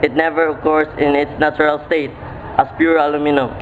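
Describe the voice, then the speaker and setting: A man playing an earnest, serious chemistry professor in an amateur radio play, lecturing in a deliberate, emphatic way. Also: on the high side, flat delivery, lightly acted. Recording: tinny phone-like audio, noisy background.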